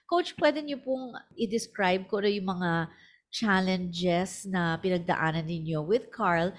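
A woman's voice: fairly low pitch, a little slow. Filipino woman hosting a podcast. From Philippines. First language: Filipino (Tagalog). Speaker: podcast host. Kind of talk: conversational interview hosting in mixed Tagalog and English.

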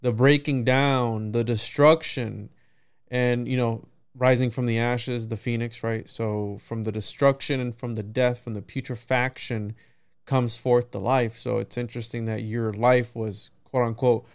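A man with a clear, smooth voice talks in a loose, conversational podcast style. His delivery is rambling and reflective, with frequent little check-ins as he works through his thoughts. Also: mid-range voice, steady tone, unhurried. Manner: rambling, musing, peppered with right and you know. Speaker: podcast host. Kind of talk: casual podcast conversation on esoteric ideas.

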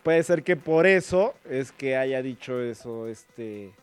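A man co-hosting a football talk show, opinionated and animated. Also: medium pitch, takes it slowly, smooth, faint music behind. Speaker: sports show co-host. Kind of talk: casual sports talk.

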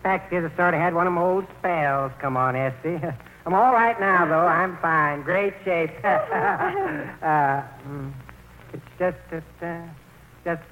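An actor playing an anxious, self-doubting older family man in a vintage radio play, speaking in a halting, folksy way. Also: on the high side, animated. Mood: troubled, agitated. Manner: halting, folksy, repeats himself. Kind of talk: 1940s radio play acting. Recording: muffled old radio broadcast, faint noise.

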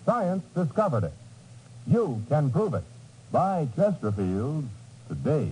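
A man's voice: on the high side, big pitch swings, slow pace, darkish tone, slight background noise, poor audio. A man announcing in an emphatic, polished 1950s radio commercial style. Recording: vintage 1950s radio broadcast.

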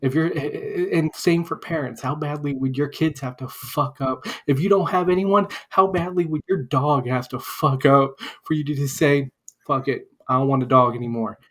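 A man's voice: fairly high pitch, fairly even intonation, moderate pace, hardly any pauses. Impassioned, profane man debating casually. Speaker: podcast co-host.

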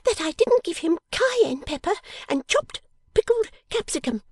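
A woman voicing a sharp, impatient, bossy young dolls' dressmaker in an audiobook, with emphatic, scolding delivery. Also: extremely high pitch, big pitch swings, measured pace, dry recording, clean studio sound, pitched above the performer's own voice, full character voice. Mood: excited, scheming. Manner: emphatic, scolding, theatrical exclamations. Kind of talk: dramatised audiobook character reading.

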